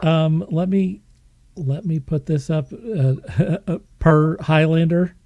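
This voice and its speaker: A woman's voice: low voice, slow pace, dark tone, no room sound, highs cut off. A woman hosting a podcast, chatting casually and putting questions to guests. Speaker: podcast host. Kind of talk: casual chat, interviewing.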